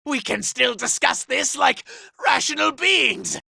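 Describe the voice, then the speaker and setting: A treacherous, self-loving robot villain from a video game. The voice is heavily gravelled and piercing, with big theatrical swoops in pitch. Every line is delivered with pompous, sneering contempt for lesser beings. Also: male voice, lively intonation, a little slow, pushed and bright, dry recording, studio quality, full character voice. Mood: panicked pleading. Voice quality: raspy, gravelly. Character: vain, scheming, treacherous robot villain, cowardly under threat. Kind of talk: video game character acting.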